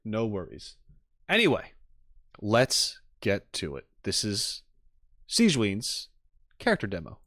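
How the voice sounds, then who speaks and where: A man's voice: fairly low pitch, wildly swooping pitch, slow, long pauses, a bit bright, dry recording. A man streaming casual reaction commentary, rambling expressively and thinking aloud into a close mic. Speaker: streamer reacting to game videos.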